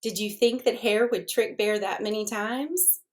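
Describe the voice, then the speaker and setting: A woman's voice: mid-range voice, a little slow. A woman reading a children's storybook aloud expressively, addressing her young listeners as she goes.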